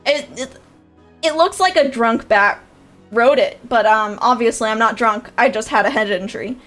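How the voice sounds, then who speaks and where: A woman's voice: on the high side, normal speed, a bit bright, faint music behind. A young woman with a nasal voice, chatting casually and rambling on a livestream, with lots of fillers and laughs. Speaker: VTuber streamer and creator coach.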